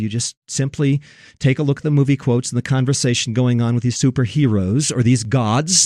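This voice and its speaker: A man's voice: medium pitch, a little mellow, no echo, clean studio sound. An American man hosting a religious talk radio show, speaking emphatically and rhetorically to his listeners.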